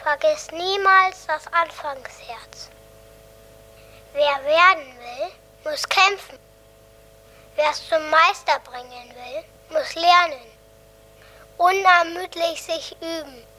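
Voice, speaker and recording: A young female performer playing an earnest daughter in a radio play, reciting solemnly in short, deliberate phrases. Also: very high-pitched, sharp tone, exaggerated voice, poor audio.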